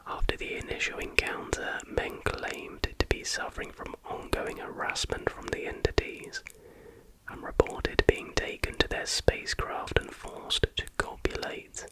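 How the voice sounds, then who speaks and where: An ASMR host speaking in a breathy, unvoiced whisper, reading aloud in an unhurried, informal way. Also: a bit bright, poor audio.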